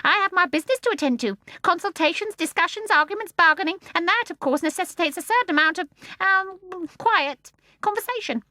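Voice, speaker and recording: A woman voicing a bossy, self-important, greedy old male starling with a shrill voice, for a storybook. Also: lively intonation, a little fast, sharp tone, dry recording, studio quality, exaggerated voice. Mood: pompous.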